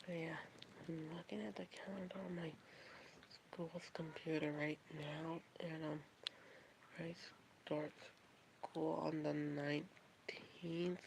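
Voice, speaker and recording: Slow, halting woman streaming. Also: low-pitched, level tone, creaky, noisy background. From Texas, USA. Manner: halting, repeats and restarts phrases often. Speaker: livestreamer. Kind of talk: casual livestream chat.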